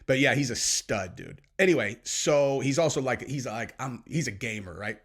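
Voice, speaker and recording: Rambling casual man, middle pitch. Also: animated, normal speed, slightly rough. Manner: rambling, profane storytelling with many fillers. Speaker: podcast co-host. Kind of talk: casual chat.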